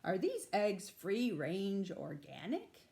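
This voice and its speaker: A stern, grumpy male bear out of a storybook, played by a woman reading aloud. He speaks bluntly and emphatically, scolding in slow, firm phrases. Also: mid-range voice, wildly swooping pitch, creaky, darkish tone, a little hiss or hum, exaggerated voice. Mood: demanding.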